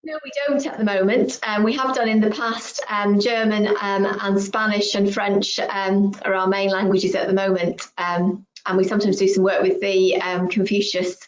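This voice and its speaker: A woman, a school head, hosting a webinar over a video call, measured and encouraging with frequent ums. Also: medium pitch, level tone. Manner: measured, encouraging, lots of ums and agreeing mm-hmms. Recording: echoey, muffled video call audio.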